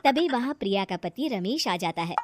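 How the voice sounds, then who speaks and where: A woman's voice: mid-range voice, expressive, moderate pace, very noisy. A woman narrating a scripted moral story as the storyteller.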